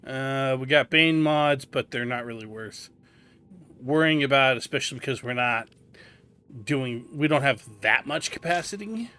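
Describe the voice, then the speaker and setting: A man's voice: medium pitch, moderate pace, sharp tone, clean tone. Man giving a casual game tutorial. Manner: explanatory, informal. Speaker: video game guide host. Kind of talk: casual tutorial commentary over gameplay. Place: indoors, gameplay voice-over recording.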